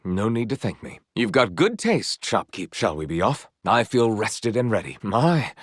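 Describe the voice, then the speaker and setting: An actor voicing a courteous, earnest and gently proud young knight in a video game, with formal, eloquent phrasing. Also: male voice, on the low side, big pitch swings, normal speed, no echo, clean studio sound. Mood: courteous, composed. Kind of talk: video game character voice lines.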